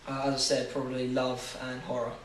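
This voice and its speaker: A man's voice: mid-range voice, completely flat delivery, brisk, slight background noise. An eighteen-year-old man answering interview questions, hesitant and full of fillers. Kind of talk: casual answers. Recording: echoey room, far from mic.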